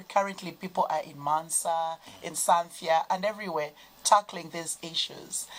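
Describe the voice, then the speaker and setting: A woman speaks as a guest on a studio discussion. Her voice sits fairly low, and she explains things in a measured way. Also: poor audio.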